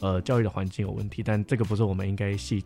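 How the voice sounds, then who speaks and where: Music plays behind a young man with a fairly low voice. He speaks Taiwanese-accented Mandarin in a casual podcast style, explaining things at length in a reflective, hedging way. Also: little pitch movement, very fast, vocal fry, dark tone. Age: young adult. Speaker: camp counselor sharing experiences. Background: background music.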